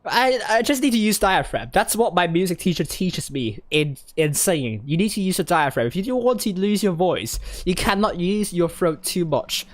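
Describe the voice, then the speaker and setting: A hoarse esports caster, voice worn and coarse, rattling off player names and shooting up into excited calls whenever the action heats up. The pitch sits in a middle range and it sounds like a headset mic. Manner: excitable, rising to high exclamations during fights; rapid name-listing with frequent fillers and restarts. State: hoarse, losing voice. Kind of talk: live play-by-play commentary.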